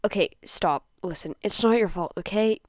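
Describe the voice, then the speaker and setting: A blunt but tender teenage boy played by a woman reading aloud, steady and protective. The delivery is casual and firm, for an audio story, recorded very dry. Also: mid-range voice, normal speed, no echo, close to an everyday voice. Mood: reassuring, confessing. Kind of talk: dramatised fan fiction reading.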